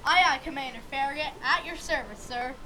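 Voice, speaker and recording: Young woman as high-pitched eager sailor. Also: brisk, pushed and bright, a little hiss or hum. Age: teenager. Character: eager, bold male sailor and harpooner. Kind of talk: youth radio theatre acting. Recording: radio play with music underneath.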